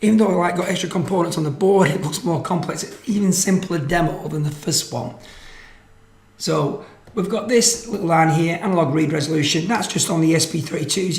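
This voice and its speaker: Male tutorial presenter, informal and explanatory, slightly high pitch, echoey room recording. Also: vocal fry. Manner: casual, explanatory. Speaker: presenter of electronics tutorial videos. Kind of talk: informal tutorial narration of code and demo. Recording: roomy, echoey microphone sound.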